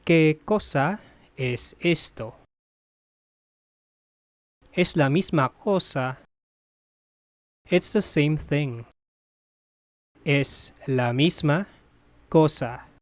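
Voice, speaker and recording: A Spanish teacher's voice reading a bilingual phrase drill, saying each phrase, translating it, then repeating it deliberately. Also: medium pitch, no room sound.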